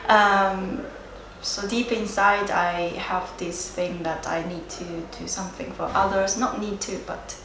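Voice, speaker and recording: A woman from Japan with a Japanese accent, a native Japanese speaker giving reflective, unscripted interview answers, hesitant and searching for words with frequent pauses. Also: mid-range voice, steady tone. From Sendai area, Japan. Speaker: interviewee, yoga and Japanese teacher. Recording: roomy echo, clear background noise.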